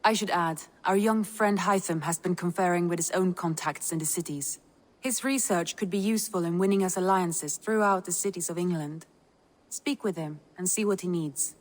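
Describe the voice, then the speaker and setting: A woman's voice: lowish voice, moderate pace, long reverb tail, natural voice. A woman acting as a composed Viking advisor in a game, briefing in a formal, explanatory manner. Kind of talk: dramatic game dialogue.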